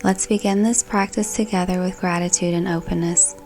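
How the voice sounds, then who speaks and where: A woman narrating a scripted guided meditation in a calm, gentle, soothing manner. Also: on the low side, fairly quick. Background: background music.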